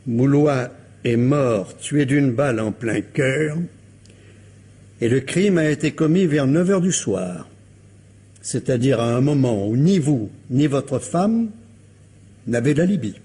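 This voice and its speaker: An actor playing a shrewd, insistent police commissioner who questions a suspect with courteous, insinuating pressure, in a French radio crime drama. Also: male voice, medium pitch, lively intonation, slow, warm tone, breathy edge. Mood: grave, accusing.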